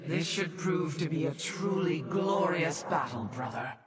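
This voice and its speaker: Proud alien commander, widely swooping delivery. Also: male voice, on the high side, expressive, unhurried. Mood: proud, loyal. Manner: formal, martial, stately. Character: proud, honor-bound male alien warrior commander. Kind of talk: video game voice acting. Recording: distant, very noisy game battle audio.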